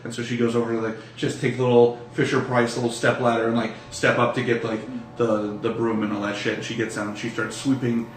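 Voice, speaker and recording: A man's voice: medium pitch, level tone, brisk, few pauses, slight background noise. Game master, male, casual joking narration with swearing, roomy distant pickup, music underneath. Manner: profane, joking. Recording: echoey room, distant mic, music.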